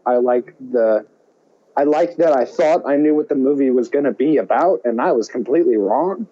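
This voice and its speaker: A man co-hosting a movie podcast in an animated, exclamatory, casual way, on a slightly dull recording. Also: on the high side. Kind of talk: casual chat about films.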